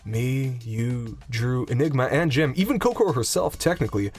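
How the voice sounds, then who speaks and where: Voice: mid-range voice, expressive, moderate pace, a little mellow, husky, soft background music. A performer playing a level-headed, logical young trial participant in an audio drama, explaining things step by step. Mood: calm, reasoning. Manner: reasoning, explanatory. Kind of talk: anime-style audio drama.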